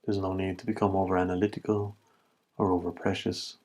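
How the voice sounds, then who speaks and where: Low-voiced man guiding meditation, very even tone. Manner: calm, measured instructions in short, spaced phrases. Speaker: meditation instructor. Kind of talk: guided body scan meditation.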